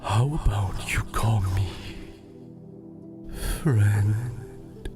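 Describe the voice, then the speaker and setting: An actor playing a sinister, sly supernatural tempter in a horror audio drama, whispering formally in a breathy, creaky voice. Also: low-pitched, steady tone, drawn-out words. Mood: sly, coaxing. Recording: loud music behind the voice.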